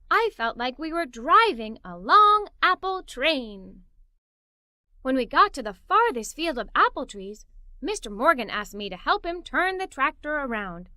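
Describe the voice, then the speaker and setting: Woman, children's read-aloud narrator, high bright voice, expressive, dry studio sound. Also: no room sound. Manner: expressive, playful storytelling.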